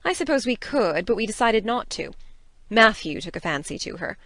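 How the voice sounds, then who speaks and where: Woman playing strict matter of fact farm lady in an audiobook, dry room sound, pitch moves around a lot. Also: sing-song, normal speed, a bit bright, dry recording. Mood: candid, mild. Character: dry, firm, stern middle-aged farm woman. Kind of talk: dramatized audiobook acting.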